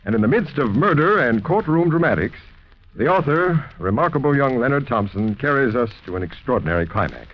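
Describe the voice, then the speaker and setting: A man's voice: mid-range voice, sing-song, a little fast. American guy hosting old radio mystery show, dramatic swooping delivery, muffled recording. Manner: theatrical, formal. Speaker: mystery show host and announcer. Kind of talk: 1940s radio scripted narration.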